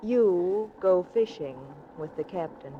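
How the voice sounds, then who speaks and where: A woman's voice: on the low side, animated, slow. An actress playing a cool, scheming mystery woman, poised, formal and coy, in 1940s radio drama style. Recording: muffled, noisy 1940s radio recording.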